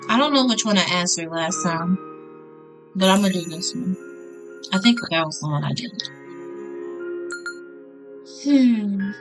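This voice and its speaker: A woman's voice: medium pitch, expressive, a little slow, pauses often, music in the background. A woman hosting a gaming video, casually reading on-screen text aloud and reacting as she goes.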